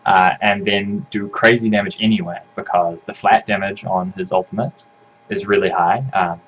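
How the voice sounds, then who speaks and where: A man with a croaky voice talking through a game analysis, rambling and correcting himself often. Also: deep voice, steady tone, moderate pace, a bit bright, lo-fi sound, a little hiss or hum. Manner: rambling, self-correcting, frequent ums and "right?". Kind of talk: casual solo game analysis.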